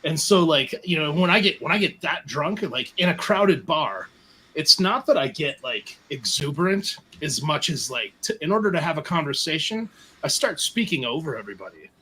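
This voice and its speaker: Loud, bright-voiced man joking about himself. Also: on the high side, big pitch swings, moderate pace, slight background noise, low-quality recording. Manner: loud, self-mocking storyteller. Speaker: podcast co-host. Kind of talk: casual podcast banter.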